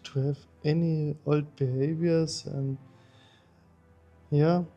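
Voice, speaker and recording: A man from Germany speaking English as a second language, halting and plain in a casual chat. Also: fairly high pitch, flat delivery, dark tone, dull audio, soft background music. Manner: halting, non-native phrasing with fillers. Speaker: interviewee, husband in a couple. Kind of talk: casual conversation.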